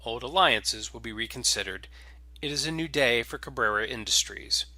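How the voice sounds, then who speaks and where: A man voicing a smooth, commanding crime lieutenant in a space opera story, formal and measured. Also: mid-range voice, sharp tone, no room sound. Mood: cool, commanding. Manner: formal, measured, coolly authoritative.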